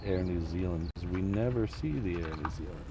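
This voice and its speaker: A man hosting a live plane spotting stream outdoors, talking casually with a slightly hoarse voice and frequent restarts. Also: low voice, normal speed. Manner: relaxed, rambling with restarts. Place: outdoors near an airport. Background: aircraft and vehicle noise.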